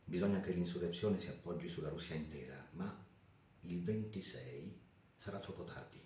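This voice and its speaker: Male actor as revolutionary leader, low voice, oratorical, deliberate, radio play, echoing space. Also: warm tone, a little hiss or hum, natural voice. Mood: hushed, urgent. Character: impassioned male revolutionary leader making political declarations. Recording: reverberant voice over music.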